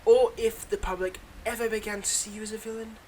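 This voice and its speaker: A man's voice: sits high, level tone, brisk, a little mellow, slight background noise, lightly acted, poor audio. A man playing a weary, wry supervillain with a secretly kind side, talking conversationally and a little hesitantly in an intimate roleplay audio monologue. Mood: wry, earnest.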